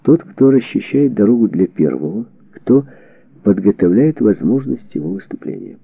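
A man narrating a historical book from a script, with measured, deliberate phrasing and pauses. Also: fairly low pitch, big pitch swings, vocal fry, husky, dry recording. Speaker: audiobook narrator. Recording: band-limited, tinny phone-like sound.

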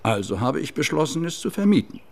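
An actor playing a wealthy estate owner in a radio drama, speaking in a formal, courteous and reserved manner. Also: male voice, a little high, very animated, fast, gravelly, exaggerated voice. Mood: composed, explaining.

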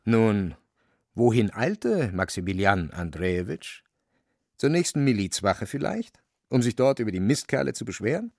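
A man's voice: on the low side, sing-song, moderate pace, creaky, darkish tone, dry recording, clean studio sound. A man narrating a radio play in a wry, dramatic literary storytelling manner.

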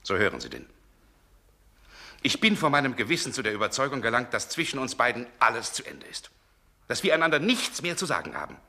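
An irritable proud gentleman in an old radio play, sharp and raspy, dismissive, accusing, worn thin. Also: medium pitch, big pitch swings, brisk, heavily acted. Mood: cold, dismissive. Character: proud, irritable, weary gentleman, scornful and overwrought. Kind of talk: 1960s German radio drama acting. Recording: 1960 radio play recording.

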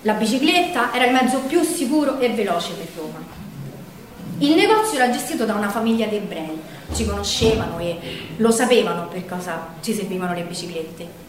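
A woman's voice: medium pitch, animated, fairly quick, crowd noise, natural voice. A woman with a Roman accent playing a feisty working-class partisan who recalls her girlhood in a colloquial, emphatic stage monologue. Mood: earnest. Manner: colloquial, emphatic, dramatic storyteller. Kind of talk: theatrical first-person storytelling monologue. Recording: very echoey hall, distant mic, faint noise.

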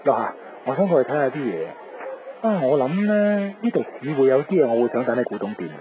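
An actor playing a playful, excitable man who ribs his friends in a radio drama. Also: mid-range voice, brisk, close to an everyday voice. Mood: playful, animated. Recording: muffled radio recording, clear background noise.

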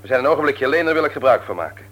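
An actor playing a stern, patronising man in authority in a radio drama, lecturing emphatically in a husky voice. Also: mid-range voice, lively intonation, very fast, a bit bright, natural voice. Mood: scolding. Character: stern, patronising older brother and works supervisor, adult man.

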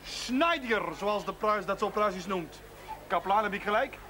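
Self-assured assistant priest male actor, jaunty and emphatic, very high-pitched, period TV drama with clear background noise. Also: normal speed, natural voice. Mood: brisk, jaunty. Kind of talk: period television drama acting. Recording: noisy vintage TV soundtrack.

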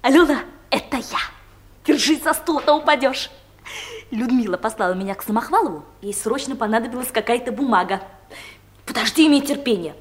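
A woman's voice: on the high side, lively intonation, a little fast, close to an everyday voice. A woman playing a chatty, gossipy office secretary in a comedic audio drama. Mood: gossipy, excited. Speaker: actress in a radio play.

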